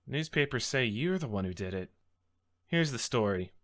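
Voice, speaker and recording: A man's voice: mid-range voice, expressive, normal speed, fairly bright tone, voice pushed down. A man playing an earnest, nervous young rookie defense lawyer in a game script, hesitant in his delivery. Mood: earnest, reflective. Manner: hesitant, stammering.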